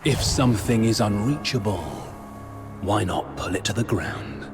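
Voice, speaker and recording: Grandiose, slow-speaking demon rebel leader. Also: male voice, on the low side, expressive. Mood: sinister, persuasive. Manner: theatrical, oratorical, deliberate emphasis. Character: grandiose, vengeful male demon rebel leader, persuasive and menacing. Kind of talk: dramatic video game voice acting. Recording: music under the voice.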